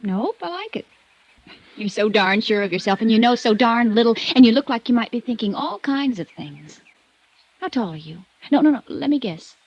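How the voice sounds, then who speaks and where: An actress playing a spoiled, pouting rich young woman in a 1950s radio drama, coy and teasing but quick to get defensive. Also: a little high, expressive, darkish tone, no echo. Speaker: rich horse owner's daughter. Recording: dull dry vintage radio recording.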